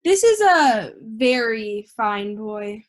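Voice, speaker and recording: A woman's voice: a little high, very animated, slow. A woman playing an impatient, sarcastic, dismissive juror in an amateur audio drama.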